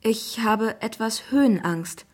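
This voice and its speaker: A woman's voice: a little high, slow pace. A woman voicing a curious, youthful schoolboy in a children's audiobook, eager and easily impressed. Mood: shy.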